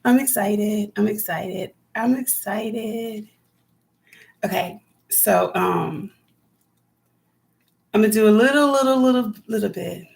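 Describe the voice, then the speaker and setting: Raspy chatty woman, gravelly voice. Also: mid-range voice, slow pace, pauses often, darkish tone. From California. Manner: chatty, rambling, talks to viewers by name. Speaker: homesteading vlogger. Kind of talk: casual live-stream chat with viewers.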